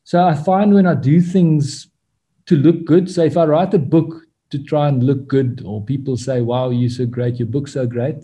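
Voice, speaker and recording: A man's voice: mid-range voice, normal speed, dark tone. A man with an Afrikaans accent, a native Afrikaans speaker, talking as a guest author in a podcast interview, reflective and candid, thinking aloud in short restarting phrases.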